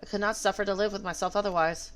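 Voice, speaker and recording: A woman's voice: medium pitch, fairly even intonation, fast talker, fairly bright tone. A woman game streamer talking casually on a livestream and reading game dialogue aloud. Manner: opinionated, emphatic.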